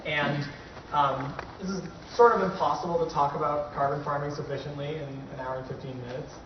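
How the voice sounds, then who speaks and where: A man's voice: a little high, fairly even intonation, brisk. A man giving an informal workshop talk as the presenter, recorded from a distance. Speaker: workshop presenter, ecological designer. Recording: distant, echoey, muffled room recording with clear noise.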